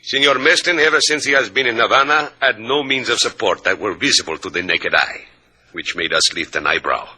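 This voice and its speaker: Spanish-accented, sharp-toned police official. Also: male voice, lowish voice, husky, heavily acted. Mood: droll, formal. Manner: formal, droll, deliberate wording. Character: courteous, wry Spanish-speaking Havana police official. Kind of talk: 1940s-50s radio drama acting.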